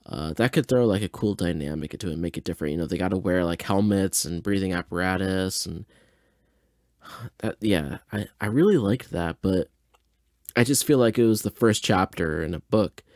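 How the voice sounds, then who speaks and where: A man with a fairly deep voice hosts a casual podcast in a very dry recording. He is a little tipsy and laid-back, thinking out loud in hesitant, rambling reactions. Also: moderate pace, dry recording. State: mildly tipsy. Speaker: podcast host.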